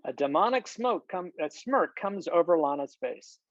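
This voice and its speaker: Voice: mid-range voice, lively intonation, moderate pace, no room sound. Dry, dull-sounding screenplay narrator. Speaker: stage-direction narrator. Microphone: video call.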